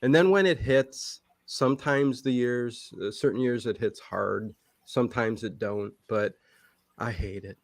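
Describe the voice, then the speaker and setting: A man's voice: mid-range voice, unhurried, no room sound. Midwest american guy, guest on a chat stream, casual slangy talk, repeats himself, dry sound. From Cleveland, Ohio, United States. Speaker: guest, horror show streamer.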